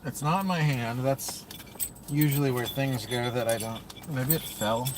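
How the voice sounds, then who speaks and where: A man's voice: mid-range voice, a little slow, very noisy. A young man livestreaming from inside a parked car at night, chatting in a rambling, scattered way to a phone camera held at arm's length. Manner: rambling, self-talking, scattered. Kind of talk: casual livestream chat.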